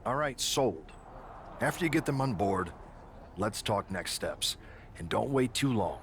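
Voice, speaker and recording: An actor playing an earnest, decisive wrestling show boss in a video game, measured and businesslike. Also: male voice, lowish voice, lively intonation, a little hiss or hum. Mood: concerned, businesslike. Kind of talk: scripted video game cut-scene dialogue.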